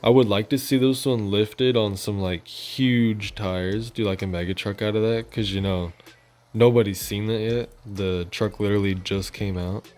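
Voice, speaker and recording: A man's voice: on the low side, fairly even intonation, moderate pace, darkish tone. A man hosting a gaming channel, giving casual, informal news commentary in a loosely rambling, colloquial way. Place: voice-over on gameplay footage. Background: music.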